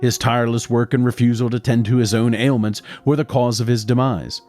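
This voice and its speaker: A man's voice: medium pitch, little pitch movement, brisk, a little mellow, natural voice. Man acting mild young doctor, music behind. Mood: somber narration. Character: mild, conciliatory young male doctor, loyal colleague and narrator. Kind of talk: literary first-person narration and dialogue in audio drama.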